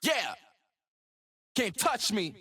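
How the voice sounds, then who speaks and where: A young, ambitious hip-hop hero from a video game. His voice is high and swings around in pitch, with slow, rhythmic, slangy swagger and total self-belief. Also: big pitch swings, sharp tone, slightly rough, full character voice. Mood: hyped. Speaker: video game voice actor and rapper. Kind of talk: game voice lines.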